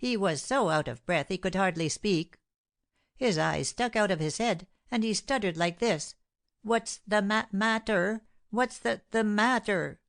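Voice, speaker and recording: A woman's voice: on the low side, animated. A woman reading aloud voices a sly, boastful male servant who drags out his story. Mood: gossiping. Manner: dramatic, drawn-out storytelling.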